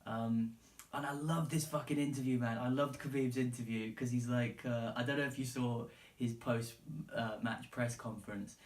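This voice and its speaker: An English man hosts a solo podcast in a loose, unscripted way, wandering through his opinions in informal language with plenty of swearing. His voice sits at an ordinary male pitch. The recording is echoey and sounds some distance from the microphone. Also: fairly even intonation, moderate pace. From England. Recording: roomy, echoey, distant from the mic.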